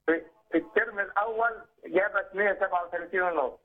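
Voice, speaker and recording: A man's voice: high voice, quick pace. A middle-aged man with an Egyptian Arabic accent calling in by telephone to ask a doctor for advice. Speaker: caller seeking advice. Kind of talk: phone consultation. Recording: muffled lo-fi telephone line.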